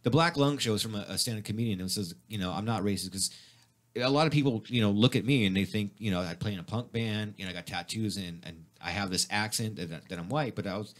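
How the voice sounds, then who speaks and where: A man's voice: fairly low pitch, fast. Host type, middle-aged guy, Spanish first language, loose and profane, talks in restarts. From Mexican heritage, grew up speaking Spanish. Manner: rambling, swears freely, many restarts. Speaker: radio show host. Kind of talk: casual profane radio chat. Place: radio studio.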